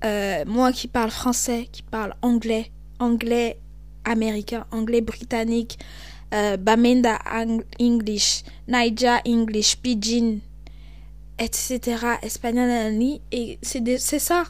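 A young woman from Cameroon with a Cameroonian French accent hosts a podcast, talking in an animated, emphatic way. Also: fairly high pitch, measured pace. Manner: animated, emphatic, switches into English. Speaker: podcast host. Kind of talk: casual monologue.

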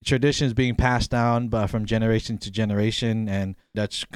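Relaxed man from Hawaii, dry close sound. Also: lowish voice, brisk, smooth, no room sound. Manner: relaxed, rambling, often says "you know what I mean". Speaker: podcast host. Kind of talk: casual podcast chat.

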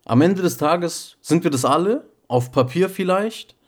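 A man's voice: on the high side, lively intonation, a little slow. A young man co-hosting a casual podcast chat, talking in street slang and often asking if the other man gets what he means. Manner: slangy, keeps calling the other man bro and checking he follows. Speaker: podcast co-host.

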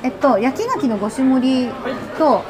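A woman chatting casually as a podcast host, giggly and animated, recorded in a restaurant. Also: sits high, moderate pace. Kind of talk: casual chat. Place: restaurant, recorded while eating. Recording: busy restaurant chatter behind. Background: crowd chatter.

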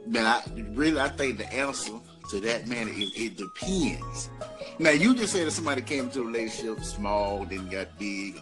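Give me a male podcast host with a bouncy, animated voice over clear background music. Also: fairly low pitch, normal speed. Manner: animated, hype delivery. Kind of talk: casual podcast banter.

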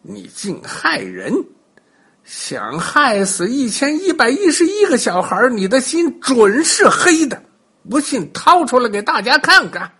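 Guy doing the monkey king, loud bossy high voice, all mocking. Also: huge pitch swings, measured pace, husky, exaggerated voice. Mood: scolding. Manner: loud, scolding, high-pitched.

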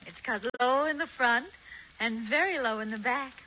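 A woman's voice: on the high side, moderate pace, bright, forceful tone, a little hiss or hum. A woman playing a sweet, vain young sweetheart in a 1940s radio comedy. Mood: coy, pleased. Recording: muffled 1940s radio broadcast.

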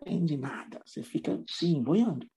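A man who teaches English, talking casually in an interview and often pausing on fillers and restarts. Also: on the high side, big pitch swings, warm tone, breathy edge. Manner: hesitant, many fillers and restarts.

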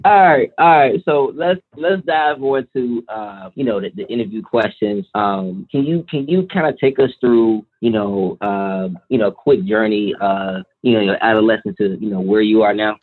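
A man's voice: lowish voice, moderate pace, few pauses. A man hosting a podcast interview in casual, slangy talk, repeating himself as he feels for phrasing, on thin narrow audio. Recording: thin, narrow phone-like sound.

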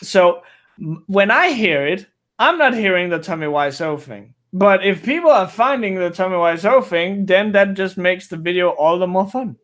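A man's voice: high-pitched, expressive, moderate pace, fairly bright tone. A young man from Denmark with a Danish accent, chatting casually, often laughing and stumbling over restarts. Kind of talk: casual conversation.

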